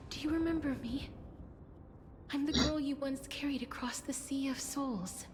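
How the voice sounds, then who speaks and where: A woman playing a gentle, solemn young woman who tends to death in a video game, speaking softly and often in a whisper. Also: high-pitched, moderate pace, natural voice. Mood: tender, sorrowful. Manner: soft, breathy, often whispering. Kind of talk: dramatic video game voice acting. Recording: game music behind the voice.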